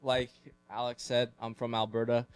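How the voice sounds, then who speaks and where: A young man from Canada speaks from a convention stage in a casual, upbeat way. His pitch sits in an ordinary middle range, and he leans on easy fillers as he explains his ideas. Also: level tone, moderate pace, clear, resonant tone. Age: 20s. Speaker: conference speaker. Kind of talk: casual conference presentation.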